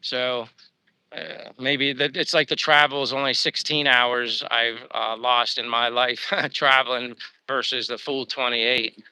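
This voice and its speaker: Pennsylvania man, livestream host, very bright, smooth, dry close sound, rambling and upbeat. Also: medium pitch, little pitch movement, a little slow, no echo, poor audio. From United States. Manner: rambling, upbeat, thanking viewers. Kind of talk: casual livestream chat reading viewer comments. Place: living abroad.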